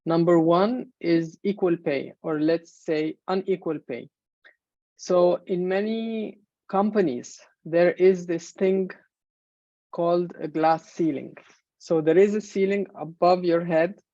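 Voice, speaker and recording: A woman in her thirties from Egypt, a native Arabic speaker, giving a measured presentation in an online webinar with many fillers. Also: lowish voice, dark tone, dry recording. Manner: measured, explanatory, frequent fillers. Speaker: main speaker. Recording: dull, muffled webinar audio.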